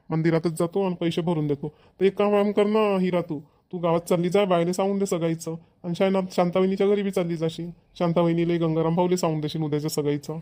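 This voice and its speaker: A chatty middle-aged village father from a cartoon comedy, acted by a man, practical and a little bossy. He speaks rapidly in a fairly high voice with a Varhadi Marathi accent, repeating himself for emphasis. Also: a little mellow, no echo, studio quality, lightly acted.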